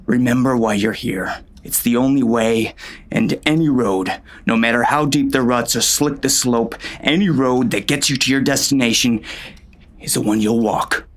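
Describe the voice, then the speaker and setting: A man playing a gruff, bitter gunslinger who tells his own story in terse, folksy western talk, as dramatic audiobook narration. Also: medium pitch, moderate pace, natural voice. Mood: brooding. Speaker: audiobook narrator and lead actor.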